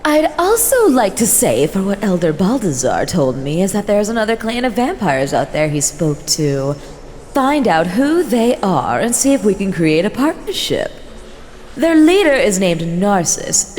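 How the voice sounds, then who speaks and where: An imperious vampire matriarch played by a woman addresses her clan with formal, haughty authority. Her pitch swings expressively, and her words ring through a long hall reverb over faint noise. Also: darkish tone. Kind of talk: scripted fantasy role-play voice acting.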